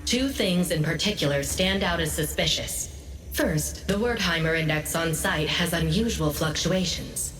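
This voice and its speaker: A woman playing a composed intelligence analyst in a video game, giving briefings in a measured, serious, informative way. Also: sits low, a bit bright. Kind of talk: scripted game dialogue. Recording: echoey hall, distant, faint music behind.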